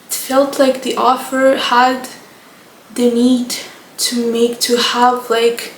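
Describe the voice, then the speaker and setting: A woman's voice: a little high, flat delivery, takes it slowly, far from the mic, a little hiss or hum. A young woman from Moldova with a Moldovan accent, chatting casually and rambling about books, with frequent restarts. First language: Romanian. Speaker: book vlogger. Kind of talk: casual chat. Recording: echoey room, from off the mic.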